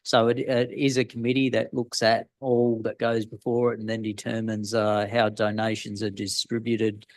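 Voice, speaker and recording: A man from Australia, the mayor, chairing a council meeting in a steady, procedural way. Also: fairly low pitch, normal speed, few pauses, no room sound.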